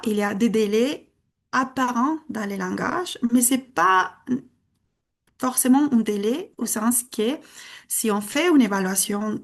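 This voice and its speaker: Woman presenting. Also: a little high. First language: Spanish. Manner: earnest, explanatory, hesitant. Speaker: conference speaker. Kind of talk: conference presentation.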